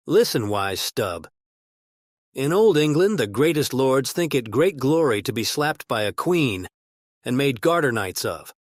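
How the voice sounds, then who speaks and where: An old humpbacked merman, lectures and coaxes like an orator in a dramatized sea tale. His pitch swings widely, and the recording is dry and close. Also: dry recording. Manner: argumentative, oratorical, coaxing. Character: old humpbacked badger-haired merman, muttering, cajoling and lecturing.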